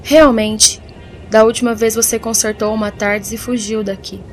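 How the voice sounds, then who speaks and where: A woman's voice: fairly high pitch, little pitch movement, normal speed, faint music behind, natural voice. A woman playing a composed, decisive leader on a mission in an audio drama.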